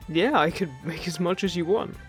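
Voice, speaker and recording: A man's voice: high voice, normal speed, soft background music. A man playing a friendly, teasing male fairy in an audio roleplay, chatting casually and reassuringly to the listener. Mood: cheerful, inviting.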